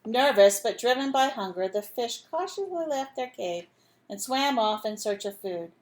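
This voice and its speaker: A woman reading a storybook aloud as a teacher would, pausing to put simple questions and instructions to young listeners. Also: on the high side, lively intonation, a little mellow. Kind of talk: storybook read-aloud with asides to children.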